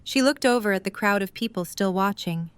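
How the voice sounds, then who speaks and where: A woman's voice: mid-range voice, a little fast, a little hiss or hum. A woman narrating a scripted story as the narrator of an audio drama.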